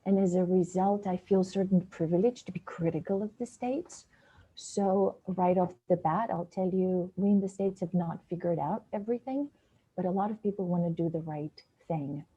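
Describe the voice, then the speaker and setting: A woman's voice: fairly low pitch, normal speed, dark tone, slightly rough, muffled recording. A woman from Bulgaria giving an earnest, practical talk to students over a video call. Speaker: guest speaker, essay coach. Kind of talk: webinar talk.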